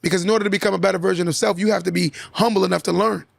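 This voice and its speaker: Gravelly, fast-talking male podcast host. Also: high-pitched, fairly even intonation, dry recording. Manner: emphatic, lecturing, repeats key lines. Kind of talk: casual podcast talk, motivational monologue.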